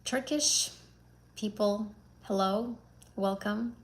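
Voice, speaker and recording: A woman's voice: medium pitch, fairly even intonation, slow. A young woman from Azerbaijan, whose first language is Azerbaijani, answering viewers' questions on a live stream as an English exam tutor, chatty and often rephrasing herself. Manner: chatty, repeats and rephrases, many fillers.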